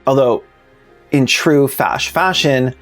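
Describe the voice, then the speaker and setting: A man's voice: medium pitch, takes it slowly, fairly bright tone, soft background music. A man narrating a scripted commentary video in a plainspoken, informal way with sardonic asides. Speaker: video essayist, host.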